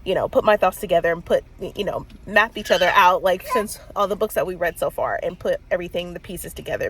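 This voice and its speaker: A woman in her thirties with a stuffy cold, chatting casually on a book vlog, rambling with lots of fillers and little laughs. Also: medium pitch. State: congested with a cold. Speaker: book vlogger. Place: in a car.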